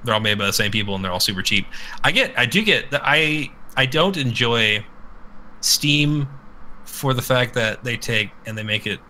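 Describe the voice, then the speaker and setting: A man's voice: medium pitch, animated, moderate pace, sharp tone, a little hiss or hum, low-quality recording. A man co-hosting a podcast in casual, crude banter, rambling and irreverent, swearing freely. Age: adult with children. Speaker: podcast co-host.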